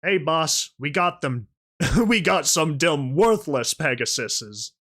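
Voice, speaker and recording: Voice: medium pitch, expressive, slow, fairly bright tone. A narrator voicing a big, coarse, callous male boss pony in a storybook reading, with a slangy, drawling street-tough delivery. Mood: gloating. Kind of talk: audio storybook character voice.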